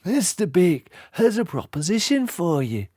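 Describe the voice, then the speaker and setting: A man voicing a sly, menacing henchman who drawls his threats smoothly. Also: high voice, very animated, measured pace, warm tone, dry recording, clean studio sound, pitched above the performer's own voice, full character voice.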